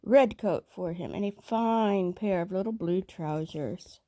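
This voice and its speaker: A woman reading a children's story aloud in a measured, expressive storytelling manner. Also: medium pitch. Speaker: storybook reader.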